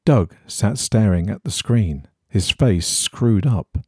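Male narrator for eerie fiction: low-lit suspense, raspy edge, very lively pitch, close dry sound. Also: takes it slowly, no room sound. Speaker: story reader. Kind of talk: scripted narration of an eerie horror story.

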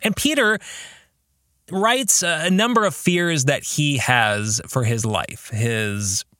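A man's voice: on the high side, slow pace, bright, forceful tone, no echo, studio quality. A man hosting a podcast, giving reflective commentary on his book and putting earnest questions straight to the listener. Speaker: podcast host and author discussing his book.

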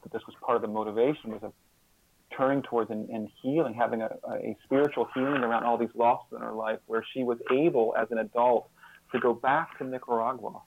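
A man speaking as a guest expert in an interview, reflective and earnest, often repeating words as he thinks. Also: mid-range voice. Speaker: guest author and social scientist. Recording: muffled phone-like narrow-band line.

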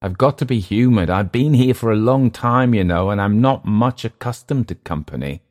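A man's voice: lowish voice, lively intonation, normal speed, a little mellow, pitched above the performer's own voice, lightly acted. A man voicing a world-weary, domineering settler in an audiobook story, speaking with emphatic, teasing scorn. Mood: jovial, insistent. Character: domineering, mocking older male jungle settler, world-weary. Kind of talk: audiobook character dialogue.

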